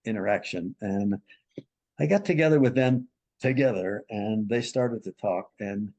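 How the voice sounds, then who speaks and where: A man, a marriage and family therapist, recounting a counseling case as a lively storyteller who acts out the people he quotes. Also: measured pace. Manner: animated storyteller, acts out quoted voices with big pitch jumps.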